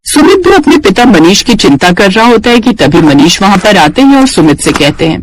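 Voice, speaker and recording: High-pitched, animated male storyteller. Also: a little fast, rough recording. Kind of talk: scripted story narration.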